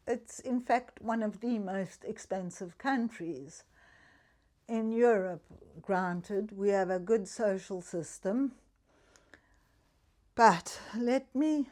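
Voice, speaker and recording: A woman's voice: medium pitch, a little mellow. An older woman from Africa, chatting candidly in a personal vlog about living on her pension. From South Africa. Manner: chatty and candid, talks frankly about money. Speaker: retiree vlogger.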